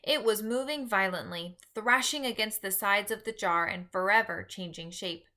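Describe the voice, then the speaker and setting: A woman reads a children's story aloud. Her voice is bright and forceful, sitting at a middle pitch. She narrates expressively, in a scripted storytelling style. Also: moderate pace. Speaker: storybook reader.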